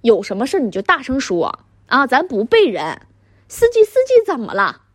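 A woman playing a furious middle-aged wife and mother with a Northeastern Chinese accent and a husky voice, berating her husband in coarse, sarcastic language in a dramatic monologue. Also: on the high side, wildly swooping pitch, normal speed, no room sound, studio quality. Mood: angry, disgusted. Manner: scolding, sarcastic, coarse swearing. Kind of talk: dramatic one-sided argument monologue.

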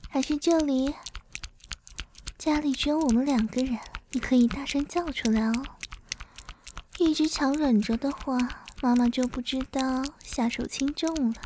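A woman voicing a dominant, teasing middle-aged mother-in-law in an intimate adult audio roleplay, often slipping into breathy whispers and giving slow commands. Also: high-pitched, mellow, slight background noise, lightly acted. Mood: teasing, commanding.

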